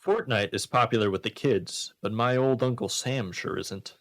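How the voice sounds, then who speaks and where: A man's voice: mid-range voice, moderate pace, no room sound. A man hosting a radio show with casual comedy banter. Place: small radio studio.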